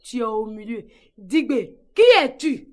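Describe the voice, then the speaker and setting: A woman's voice: fairly high pitch, unhurried, darkish tone, slight background noise, close to an everyday voice. A woman playing a scolding, mocking mother. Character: scolding mother proud of her mother tongue.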